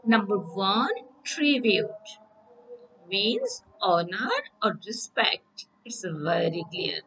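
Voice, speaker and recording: A woman's voice: medium pitch, animated, fairly bright tone, a little hiss or hum, poor audio. A woman teacher, giving a recorded English lesson and often checking in with "okay?". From Bangladesh. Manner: slow, repeats "okay?" to check understanding.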